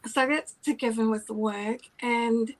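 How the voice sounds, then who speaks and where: A woman with a soft voice giving a psychic reading, affectionate, sometimes dropping to a whisper. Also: on the high side, fairly even intonation, measured pace, poor audio. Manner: warm, affectionate, drops to whispers. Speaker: psychic reader.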